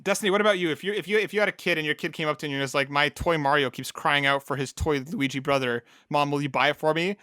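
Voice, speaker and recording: Male co-host, bright forward voice, fairly high, emphatic, conversational, dry close sound. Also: fast, hardly any pauses, smooth, no echo. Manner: emphatic, acts out imagined quotes. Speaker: podcast co-host. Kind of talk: casual animated chat.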